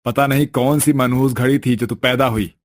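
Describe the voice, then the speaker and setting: A man's voice: mid-range voice, steady tone, normal speed, a little hiss or hum, close to an everyday voice. A man playing a bitter, scornful elder brother in a family story, with a scolding, contemptuous manner. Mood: scornful, angry. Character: bitter, scornful elder brother, doting only on favoured sister.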